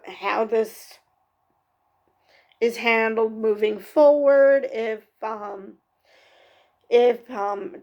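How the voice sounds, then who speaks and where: A woman talks through a TV episode in a slow, unhurried recap, often pausing on ums and fixing her own sentences. Her voice sits a little high and has a gravelly, fry-edged texture. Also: darkish tone, dull audio. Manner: halting, many ums and self-corrections. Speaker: TV review vlogger. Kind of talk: casual unscripted episode recap.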